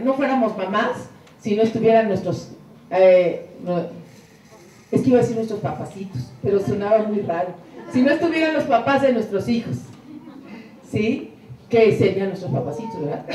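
A middle-aged woman giving an inspirational church talk on a handheld mic, fervent, moving between hushed prayerful passages and soaring emphatic lines. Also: fairly high pitch, a little hiss or hum. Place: room with curtained wall, indoors. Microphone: handheld mic, filmed from a distance. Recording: echoey room, camera far off.

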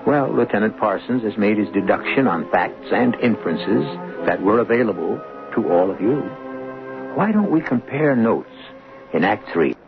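A man playing an urbane mystery host, narrating a scripted radio drama in a wry, measured, conversational way. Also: lowish voice, lively intonation, gravelly. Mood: wry, intriguing. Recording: muffled phone-like old radio recording. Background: music.

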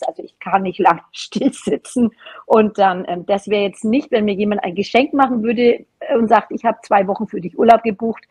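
A woman's voice: mid-range voice, darkish tone, slightly rough. A woman in her fifties with a Franconian accent, chatting casually as a podcast guest over a video call. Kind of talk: casual chat. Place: small bright room at a dining table. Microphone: earbuds on a video call.

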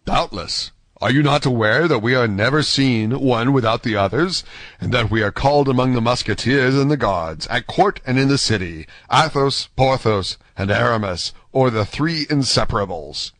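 A man's voice: mid-range voice, expressive, moderate pace, a bit bright, no room sound, close to an everyday voice. Man playing a grave, gallant musketeer. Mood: calm. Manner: stately, courteous, formal. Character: gentlemanly, gallant musketeer, grave and self-possessed. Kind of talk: audiobook character acting.

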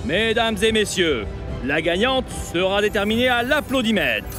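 Man acting high-pitched, pompous old cartoon mayor. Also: sing-song, over music. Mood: grandiose, ceremonial. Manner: grandiose, drawn-out announcer delivery. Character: pompous older male town mayor hosting a contest. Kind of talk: theatrical cartoon announcing in French.

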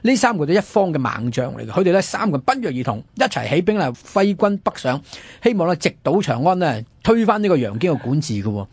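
A male radio host talks fast in colloquial Hong Kong Cantonese. His voice is husky and recorded dry and close, in a conversational, explanatory style over faint music. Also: on the high side, a little mellow, dry recording. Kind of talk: conversational history discussion.

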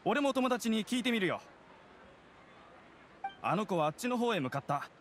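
A man's voice: sits high, big pitch swings, moderate pace, a bit bright, slight background noise. A man playing a friendly, helpful young townsman in a fantasy anime game, casual and concerned. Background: background music.

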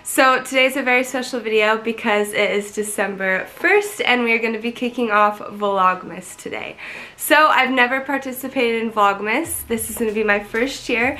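Bubbly twenties vlogger, a bit high, stuffy from a cold, talking to camera in her apartment. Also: moderate pace, sharp tone. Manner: bubbly, chatty, swears casually. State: congested from a cold. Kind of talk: casual vlog chat to camera. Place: small apartment bedroom, indoors. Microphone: camera in front, close framing.

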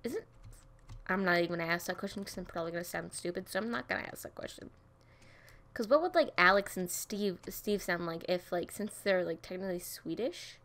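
Bright-voiced young woman gaming. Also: on the low side, fairly quick. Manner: chatty, giggly, jumps into silly voices and snatches of song. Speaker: gaming streamer. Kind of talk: casual gameplay commentary.

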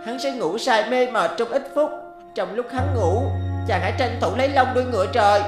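An actress playing a gentle magical female bird spirit giving guidance in a cartoon. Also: fairly high pitch, brisk, close to an everyday voice. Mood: tender. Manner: tender, guiding. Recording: roomy echo over music.

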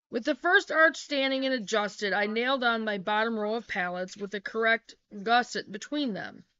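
Bright-voiced woman reading a how-to. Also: on the high side, clear, resonant tone, dry recording. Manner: plain, practical, with small self-corrections. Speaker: homesteading vlogger. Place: small wood-paneled room indoors. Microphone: laptop webcam. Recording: dull, lo-fi webcam sound.

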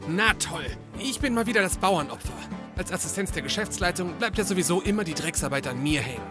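Young male detective's assistant, high-pitched, naive and whiny, comedy audio play, music underneath. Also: lively intonation, fast talker. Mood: sulky. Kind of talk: occult comedy audio drama acting.

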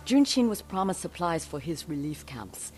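A woman's voice: low voice, big pitch swings, normal speed, a little mellow, soft background music. An actress voicing an older samurai noblewoman in a video game, grim, bitter and commanding. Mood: stern. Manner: stern, clipped, commanding. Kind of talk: dramatic game dialogue.

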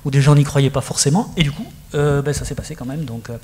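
A man's voice: a little high, fast talker, mellow. A middle-aged man hosting a studio interview, speaking conversationally and steering the talk with questions into a handheld mic. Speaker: host. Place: studio set with screens.

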